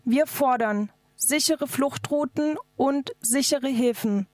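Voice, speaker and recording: A woman's voice: sits high, takes it slowly, no room sound, clean studio sound, faint music behind. A woman activist delivering a scripted political speech in an emphatic, declarative manner. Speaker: activist speaker.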